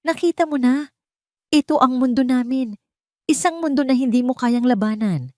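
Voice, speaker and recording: A woman's voice: sits high, normal speed, warm tone, dry recording, pitched above the performer's own voice, close to an everyday voice. A woman narrator puts on an imperious old society matriarch for an audio drama. She is cold, unflinching and commanding, bargaining and threatening with total control. The sound is dry and close. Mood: smug.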